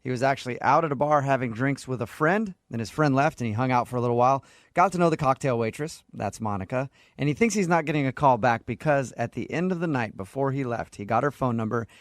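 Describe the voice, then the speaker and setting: A man's voice: medium pitch, quick pace, dry recording. A man hosting a morning radio show, bantering and teasing in a joking way on a studio microphone. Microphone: close studio broadcast microphone.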